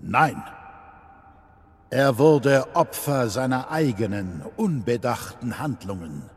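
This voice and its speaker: An actor playing a stern, authoritarian Lord Chancellor with formal, accusatory delivery in an audio drama. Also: male voice, mid-range voice, lively intonation, takes it slowly, breathy edge, faint music behind. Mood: cold accusation. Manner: formal, accusatory, imperious declamation. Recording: long hall reverb.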